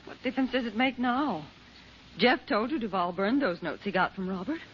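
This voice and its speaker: A woman's voice: fairly high pitch, lively intonation, moderate pace, close to an everyday voice. An actress playing a worried, strong-willed mother with emphatic, dramatic delivery in an old-time radio serial. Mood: exasperated. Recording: muffled, faintly noisy old radio recording.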